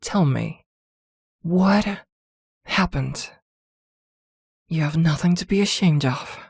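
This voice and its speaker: A woman playing a possessive, protective witch girlfriend in an intimate ASMR roleplay, doting yet jealous, with hesitant pauses. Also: low-pitched, gravelly, darkish tone, slightly rough, dry recording, clean studio sound, full character voice. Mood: tense, coaxing.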